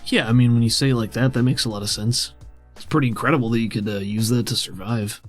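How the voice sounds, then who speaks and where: A man acting as game master, narrating and role-playing casually with an easygoing, halting delivery. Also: mid-range voice, fairly even intonation, faint music behind.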